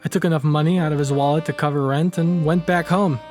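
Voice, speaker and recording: Man performing a hardboiled noir storyteller, wry, slangy, cocky, slightly high-pitched, faint music behind. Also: steady tone, quick pace, a little mellow, lightly acted. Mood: cool, smug. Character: wisecracking hardboiled tough guy narrating his own noir crime tale.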